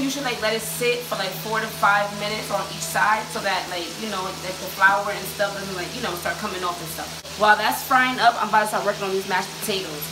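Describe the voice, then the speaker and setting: Young woman, casual slangy cooking vlog, phone mic, kitchen, sizzling oil behind. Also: mid-range voice. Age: young adult. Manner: slangy, chatty, talks straight to viewers. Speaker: cooking vlogger. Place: home kitchen, indoors. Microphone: handheld phone camera. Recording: sizzling pan noise behind. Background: oil frying and sizzling.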